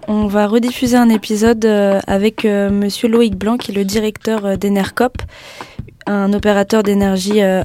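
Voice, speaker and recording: A woman hosting a casual radio interview, soft-spoken and hesitant with many fillers. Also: mid-range voice. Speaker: radio host. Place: radio studio.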